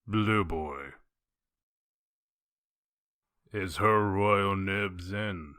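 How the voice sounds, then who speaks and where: Comic dub detective, acted by a man: low-pitched, gruff, terse, deadpan, very dry close sound. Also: extremely slow, no room sound, heavily acted. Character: gruff, blunt male wolf detective, grimly matter-of-fact.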